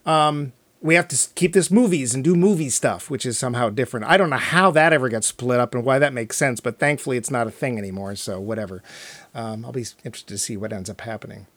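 A man co-hosting a podcast, reviewing a film in casual chat, animated and jokey, acting out mock quotes. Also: medium pitch, quick pace, dry recording. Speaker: podcast co-host.

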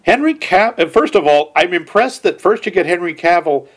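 A man's voice: high-pitched, expressive, moderate pace. A man co-hosting a casual film and TV chat, sharing information with light jokes. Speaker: podcast co-host.

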